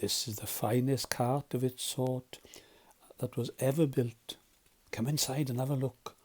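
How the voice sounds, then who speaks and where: Man putting on an excitable, boastful storybook toad. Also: medium pitch, measured pace, slightly rough. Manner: airy, gushing, exclamatory. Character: boastful, excitable, gushing rich male toad. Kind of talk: storybook character voice.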